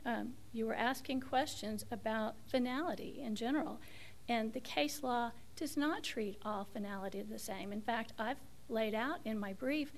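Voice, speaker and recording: A woman attorney argues before an appeals court, her voice going hoarse and gravelly with fry. She speaks on the higher side in a careful, halting way, often restarting her sentences. A faint hiss of background noise sits under the courtroom recording. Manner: measured, hesitant, with frequent restarts and fillers. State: losing her voice. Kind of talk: appellate oral argument.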